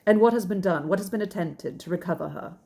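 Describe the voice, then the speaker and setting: A woman reading the lines of a grave, shocked gentleman in short, solemn phrases. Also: on the low side, fast, vocal fry.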